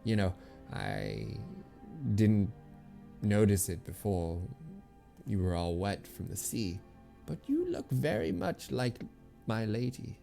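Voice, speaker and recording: Man reading as a wistful old nursemaid, creaky voice. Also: lowish voice, wildly swooping pitch, slow, mellow, soft background music, heavily acted. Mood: wistful, confiding. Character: kindly old woman, a former nursemaid and healer, wistful.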